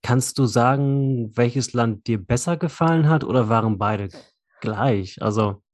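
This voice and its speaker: A woman's voice: a bass voice, measured pace, a little mellow, dry recording, studio quality. A woman, a native German speaker, chatting casually as an interviewer. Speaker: interviewer and conversation partner. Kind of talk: casual bilingual chat.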